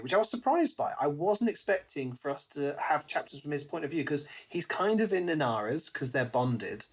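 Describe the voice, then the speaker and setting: A man co-hosting a podcast, chatting casually about a book and thinking aloud as he goes. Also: a little high. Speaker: podcast co-host.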